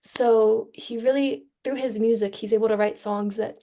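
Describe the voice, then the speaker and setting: A woman's voice: a little high, fairly even intonation, normal speed, warm tone. A young woman in a casual group discussion, speaking informally and with enthusiasm as a student on a podcast. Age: young adult.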